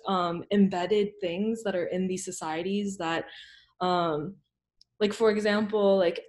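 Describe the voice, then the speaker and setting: Young american woman artist, loose chatty talk, laptop mic at home, mid pitch. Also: darkish tone. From United States. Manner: loose and chatty, many ums and likes. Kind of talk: casual panel discussion. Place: home room, video call. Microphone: laptop mic on a video call.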